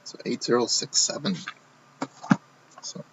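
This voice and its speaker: A man hosting a live card box break, talking casually while he opens packs, his voice going hoarse. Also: measured pace, heavy background noise. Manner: casual, running commentary while opening packs. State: losing his voice.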